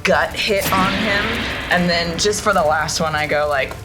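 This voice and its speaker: Woman, lowish voice, casual tabletop game player, loud background music. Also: unhurried. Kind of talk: casual game chat and action narration. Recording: loud music bed behind voice. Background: background music score.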